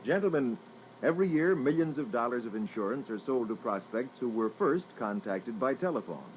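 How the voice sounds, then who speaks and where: A man narrating an instructional training record in a formal, measured, scripted style. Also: medium pitch, expressive. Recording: noisy old reel-to-reel tape.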